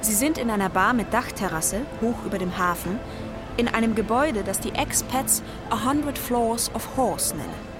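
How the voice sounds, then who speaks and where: A woman's voice: a little high, expressive, moderate pace. A woman delivering scripted narration as the narrator of a German radio drama. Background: music.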